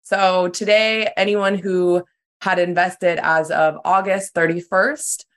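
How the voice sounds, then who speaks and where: A woman's voice: lowish voice, fairly even intonation, takes it slowly. A woman co-hosting a podcast in a casual, upbeat way, her voice a little worn. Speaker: podcast co-host.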